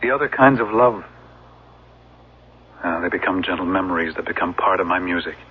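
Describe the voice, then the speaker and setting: A man acting in a radio drama as an ancient, melancholy musician devoted to his art, wistful, hesitant and measured. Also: deep voice, slightly rough. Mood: wistful, resolute. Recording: dull, lo-fi radio broadcast.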